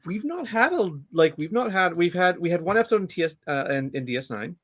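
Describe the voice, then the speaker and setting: A man co-hosting a podcast, talking casually about a TV series with halting restarts. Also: sits high, sing-song, fairly bright tone. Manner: halting, many restarts and fillers. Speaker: podcast co-host. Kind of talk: casual fan discussion.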